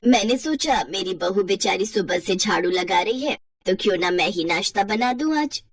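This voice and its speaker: A woman's voice: medium pitch, expressive, normal speed, husky, no echo, studio quality, far from the mic, pitched below the performer's own voice. A woman voicing a middle-aged village mother-in-law who is kindly, commanding and affectionately scolding. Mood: warm, brisk.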